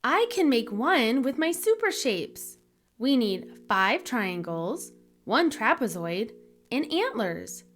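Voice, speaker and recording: A confident, can-do little boy hero from a children's storybook, played by a woman, with a fairly high pitch. Speech is slow and very lively, with light music underneath. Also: full character voice. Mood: eager, confident. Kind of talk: children's storybook read-aloud.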